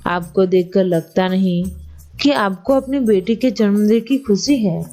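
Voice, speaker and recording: A woman playing a reassuring village priest in a Hindi moral story cartoon, speaking in measured phrases. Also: medium pitch, dark tone, faint music behind, close to an everyday voice. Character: reassuring male village priest, a proud father.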